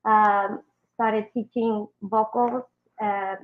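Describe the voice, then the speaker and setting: A woman's voice: medium pitch, flat delivery, drawn-out words. A young adult woman from Colombia, a native Spanish speaker with a Colombian Spanish accent, chatting as a musician guest on a video call with many ums and you-knows. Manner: warm, chatty, fills with um and you know. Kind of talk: casual interview answers. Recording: dull lo-fi video call audio.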